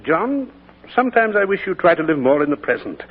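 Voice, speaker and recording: An actor playing a kindly middle-aged vicar who speaks gently and earnestly, in 1940s radio acting style. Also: male voice, high voice, very animated, a little fast, husky, exaggerated voice. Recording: tinny old radio broadcast with faint hiss.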